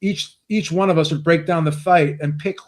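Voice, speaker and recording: Higher-pitched man, eager stumbling guest. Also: fairly even intonation, normal speed. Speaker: guest, boxing channel co-host. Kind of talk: casual podcast chat.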